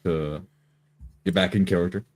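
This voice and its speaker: A man's voice: deep voice, fairly even intonation, normal speed. A man talking casually as a player before a livestreamed tabletop game.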